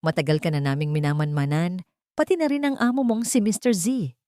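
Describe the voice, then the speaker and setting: A woman's voice: lowish voice, a little fast, dark tone, no echo, natural voice. Female narrator as male investigator in an audio drama: firm, official, stern, dry sound. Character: firm male cybercrime agent making an arrest.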